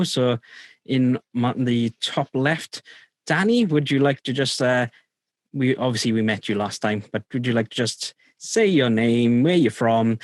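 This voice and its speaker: Male host, casual, halting with fillers, teasing, dry close mic. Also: mid-range voice, no echo. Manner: hesitant, many ums, playful. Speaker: podcast host. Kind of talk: casual group video chat. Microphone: video call.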